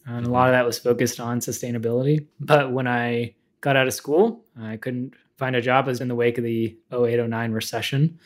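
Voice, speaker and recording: A man in his thirties from Texas answers interview questions in an easygoing, casual manner. He tells his own story with light self-deprecation, his voice middle-pitched and even. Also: fairly quick, few pauses. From Texas, United States. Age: 30s. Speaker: guest, author.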